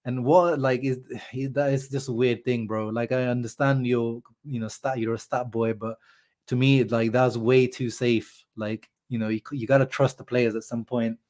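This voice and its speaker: A man's voice: mid-range voice, moderate pace. A young man with a sore throat hosting a live football reaction stream, chatting casually and answering viewers' comments. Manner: animated, chatty, says "bro" and "like" a lot. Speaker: football fan channel host. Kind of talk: live-stream match reaction, reading chat.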